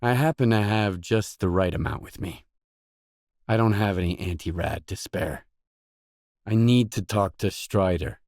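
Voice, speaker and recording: An actor voicing a gruff, guarded stalker mercenary in a video game, blunt, terse and no-nonsense. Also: male voice, lowish voice, a little slow, a little mellow, no room sound, studio quality. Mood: guarded, matter-of-fact. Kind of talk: video game dialogue lines.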